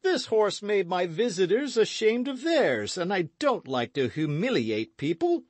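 A man's voice: high voice, big pitch swings, measured pace, dry recording, voice pushed up, exaggerated voice. A man voicing a boastful, hearty gourmand musketeer with a grand, exclamatory delivery in a storybook reading. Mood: blustering, self-justifying.

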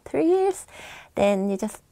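A woman's voice: high-pitched, big pitch swings, unhurried, mellow, no room sound. A young woman from Thailand with a Thai accent, teaching phrases in a scripted video lesson on a lapel mic in a studio. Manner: clear, careful phrase-by-phrase teaching. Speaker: language teacher. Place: indoor studio against a plain wall.

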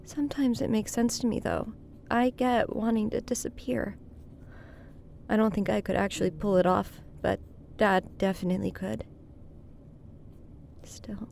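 A young woman with a gravelly fry and a dark, mellow tone acted by a woman. She is guarded and brooding, understated as in a horror audio play. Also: medium pitch, steady tone, measured pace, long pauses, slight background noise. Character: guarded, brooding daughter of a missing father. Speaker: voice actor. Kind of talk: naturalistic horror audio drama acting.

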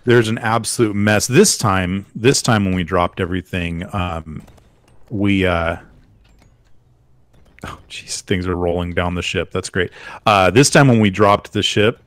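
A man's voice: deep voice, a little slow, no echo. A man chatting casually while playing a game with a friend, joking and trailing off with filler words. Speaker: gaming channel host. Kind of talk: casual co-op gameplay chat.

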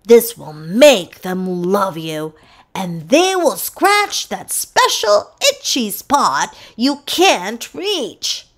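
A woman voicing an earnest, boastful pet dog in a children's picture book, with emphatic, drawn-out delivery. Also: medium pitch, sing-song, heavily acted. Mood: earnest, playful.

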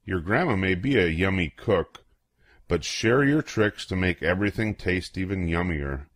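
An older man reading a children's picture book aloud in a playful, expressive way, acting out exclamations and questions. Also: low voice, moderate pace, no echo, studio quality.

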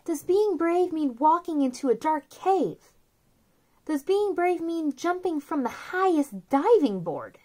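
A woman in her thirties speaking to young children as a story time host, with an expressive, simple delivery. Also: sits high, measured pace. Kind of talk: children's read-aloud and chat to young viewers.

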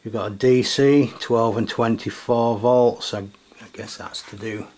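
A man's voice: fairly low pitch, steady tone, unhurried, darkish tone. A middle-aged man giving a casual, unscripted product demonstration, thinking aloud in a tentative way. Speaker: radio product reviewer. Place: indoors at a tabletop. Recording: clear background noise, room ambience.

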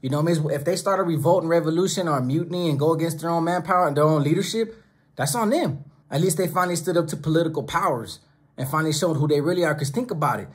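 Man, middle-aged, raised pitch, breathy husky tone, emphatic, preachy, repeats points in lists, close camera mic. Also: fast. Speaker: YouTube channel host. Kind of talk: direct-to-camera commentary. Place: small home studio room with monitors and speakers. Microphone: close camera, seated at desk.

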